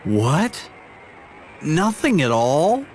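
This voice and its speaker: An actor playing a flustered, excitable, awkwardly apologetic young man in a video game. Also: on the high side, drawn-out words, slight background noise, full character voice. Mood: startled, flustered. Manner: flustered, stammering. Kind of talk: dubbed video game cutscene acting.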